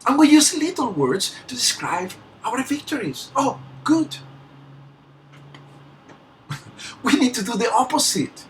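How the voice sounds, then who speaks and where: Slow, emphatic Peruvian Spanish-accented motivational speaker. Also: medium pitch, sing-song, distant mic, slight background noise. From Peru. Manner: earnest and emphatic, with frequent small laughs and restarts. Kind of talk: motivational speech.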